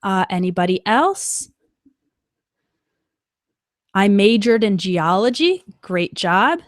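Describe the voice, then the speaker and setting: A woman teaching an English lesson on a live stream, explaining clearly and patiently and checking in with "okay.". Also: medium pitch, big pitch swings, slow pace, dry recording, studio quality. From United States.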